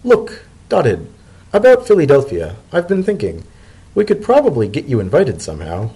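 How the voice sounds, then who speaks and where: A man voicing an anxious, affectionate male pony in a story reading, speaking in a hesitant, earnest and excitable way. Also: medium pitch, moderate pace, breathy edge, pitched above the performer's own voice, full character voice, low-quality recording. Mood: hesitant, concerned.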